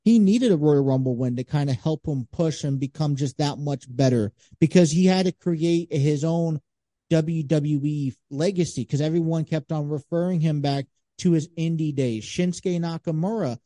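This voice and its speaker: A male podcast guest with an extremely soft-edged, mellow tone and a slightly high voice. He chats casually about sport, adding emphatic asides as he goes, in a dry recording. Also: moderate pace, dry recording. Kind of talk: casual wrestling discussion.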